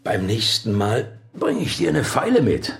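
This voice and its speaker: A man's voice: mid-range voice, wildly swooping pitch, measured pace, vocal fry, full character voice. An actor playing a smug, scheming male friend who speaks in an insinuating, mocking way in a radio crime drama. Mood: sly.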